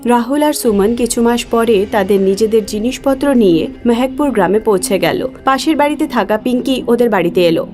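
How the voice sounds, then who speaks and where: A woman's voice: a little high, fairly quick, few pauses, darkish tone, soft background music. A woman reading scripted story narration as the narrator.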